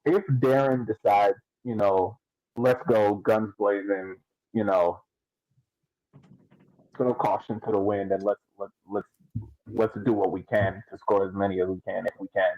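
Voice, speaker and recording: A man on a sports podcast panel debating casually, building his arguments haltingly in stages. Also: on the low side, flat delivery, a little mellow, no room sound, muffled recording.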